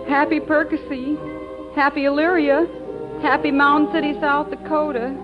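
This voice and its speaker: Devoted, flustered wife, high and unhurried, 1950s radio play with muffled vintage sound. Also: female voice, flat delivery. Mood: worried, determined. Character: starry-eyed, devoted showman's wife, plucky and flustered. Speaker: actress and singer. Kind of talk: 1950s musical radio drama acting. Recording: dull vintage radio, loud music behind.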